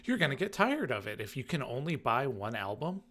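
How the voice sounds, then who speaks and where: A man's voice: mid-range voice, very animated, fairly quick, pushed and bright. A man chatting casually as a regular third voice on a podcast. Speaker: podcast third chair and producer. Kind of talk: casual chat.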